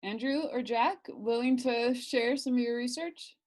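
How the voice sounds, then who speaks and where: A woman instructor leading an online class in a casual, halting way, thinking aloud as she goes. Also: on the high side, darkish tone. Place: online class video call. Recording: clearly noisy video call audio, clicks.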